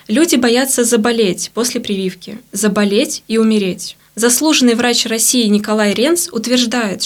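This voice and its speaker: A woman reporter delivering a scripted radio news report. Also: a little high.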